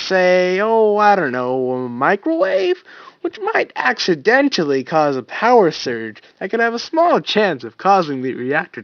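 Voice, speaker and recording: Man reading a high, eager, childlike soldier, lively swoops, muffled dry recording. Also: no room sound, exaggerated voice. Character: young male soldier, cheerful, childlike, excitable.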